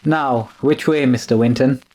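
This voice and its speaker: A man's voice: mid-range voice, steady tone, a little slow, a little mellow, natural voice. Man playing a polite, uneasy manservant. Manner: polite, hesitant. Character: deferential, uneasy manservant following his master. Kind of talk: old-time radio drama acting.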